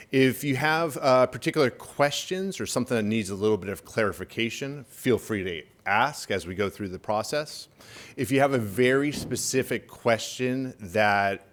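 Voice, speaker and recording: A man's voice: on the low side, a bit bright. A middle-aged man, a lawyer, giving a friendly, self-deprecating workshop talk with a microphone in a church hall. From Northern California. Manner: self-deprecating, chatty, with fillers. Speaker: estate planning lawyer, panelist. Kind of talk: workshop presentation. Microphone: handheld microphone.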